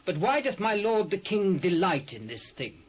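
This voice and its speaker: High-voiced loyal army captain. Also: male voice, sing-song, normal speed, breathy edge, exaggerated voice. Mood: earnest, doubting. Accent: British. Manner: earnest, declamatory. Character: loyal army captain questioning his king. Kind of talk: dramatised scripture reading. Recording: muffled old cassette sound.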